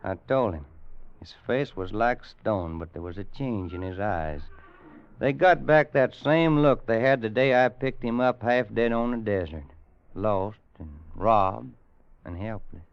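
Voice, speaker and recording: An actor playing a plain-spoken, kindly rancher in a radio western, folksy, with an American Western drawl. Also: male voice, on the low side, a little slow, clean tone. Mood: somber storytelling. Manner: folksy, plain, hesitant at times. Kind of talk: old-time radio western narration and acting. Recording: dull vintage radio recording with music.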